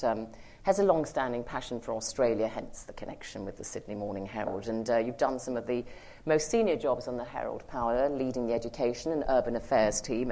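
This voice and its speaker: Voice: mid-range voice, darkish tone. A panel chair introducing the next speaker, with light joking asides.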